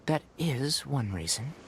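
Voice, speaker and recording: An actor playing a composed, knowledgeable young forest ranger in a video game, explaining things in a measured, practical, matter-of-fact way. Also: male voice, a little high, creaky, heavy background noise, exaggerated voice. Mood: calm, practical. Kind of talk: video game character dialogue.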